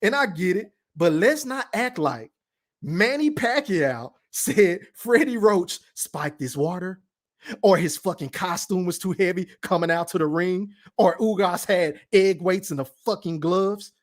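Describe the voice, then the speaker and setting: Texan man with a high voice, ranting. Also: expressive, a little slow. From Texas, Dallas-Fort Worth. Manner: blunt, profane, emphatic. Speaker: podcast host reading live chat. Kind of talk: casual livestream rant.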